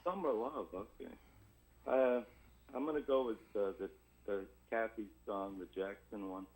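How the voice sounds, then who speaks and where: A man chatting casually as a radio caller, hoarse and froggy, hesitant and rambling. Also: lowish voice, a little slow, darkish tone, poor audio. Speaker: radio call-in listener. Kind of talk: casual call-in chat.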